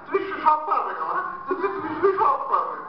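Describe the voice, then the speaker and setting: Poor woodcutter in a folk play, pleading theatrical voice, super echoey, far from mic. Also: male voice, very high voice, sing-song, a little fast, music in the background, exaggerated voice. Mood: tearful pleading. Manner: plaintive, exclamatory, theatrical delivery. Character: poor, honest village woodcutter, pleading and humble. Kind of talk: Bengali comic folk-tale stage drama. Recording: very echoey, distant, dull, noisy recording.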